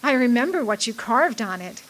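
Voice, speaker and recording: An actress playing a tender, devoted young sweetheart with a wistful, sentimental delivery in a radio drama. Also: female voice, fairly high pitch, animated, normal speed, a bit bright, rough recording. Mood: wistful, fond.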